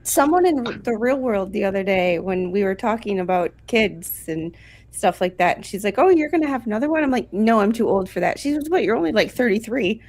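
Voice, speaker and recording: Woman, 40s, chatty stream guest who laughs at herself, mid-range pitch. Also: expressive, brisk, darkish tone. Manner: chatty, laughs often, self-deprecating. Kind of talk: casual chat.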